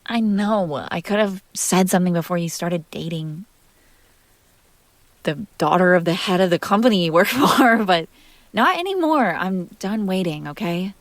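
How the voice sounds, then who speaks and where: A woman playing a jealous, possessive and fiercely protective young woman in an intimate roleplay audio drama, speaking close to the mic in emphatic, halting bursts. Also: fairly low pitch, expressive, dry recording. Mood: tender, confessing. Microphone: close microphone, dry sound.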